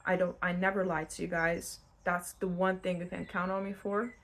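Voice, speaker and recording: A woman's voice: lowish voice, little pitch movement, normal speed, fairly bright tone. Casual, straight-talking woman. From Lithuania. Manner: blunt, conversational, addresses the listener directly. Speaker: tarot reader hosting her own channel. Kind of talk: unscripted tarot reading to camera, casual. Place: indoors at home in a new-build house.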